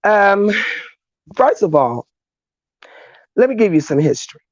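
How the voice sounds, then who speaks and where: A woman guest on a livestream, telling history in a measured, conversational way. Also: medium pitch, very animated, darkish tone, dry recording, lo-fi sound. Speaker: guest speaker.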